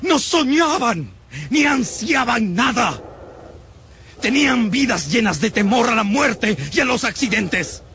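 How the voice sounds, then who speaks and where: A man's voice: extremely high pitch, lively intonation, slow pace, sharp tone, slight background noise, exaggerated voice. An actor playing a fanatical, contemptuous male survivor in a radio drama, haranguing and menacing in a declamatory way. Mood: ranting, contemptuous.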